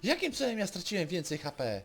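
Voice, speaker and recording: A man's voice: high-pitched, huge pitch swings, fast, fairly bright tone. A man with a Polish accent giving casual, muttered commentary while he plays a video game. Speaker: streamer playing a game. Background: game music.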